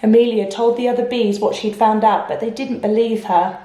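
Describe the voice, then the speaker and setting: A woman reading a picture book aloud to children, expressive, with explanations and questions to the listener. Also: a little high, moderate pace. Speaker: children's storyteller. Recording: echoey room.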